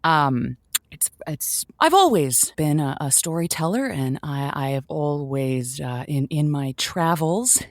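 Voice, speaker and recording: An effusive lonely-hearts radio host in an audio comedy. Her voice sits a little low and is recorded dry. She gushes and stumbles over repeated words, earnest and easily flustered. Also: animated, no echo. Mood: flustered, upbeat. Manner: effusive, halting with stammers and repeats. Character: gushing, flustered woman hosting lonely-hearts radio show. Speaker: radio call-in advice show host.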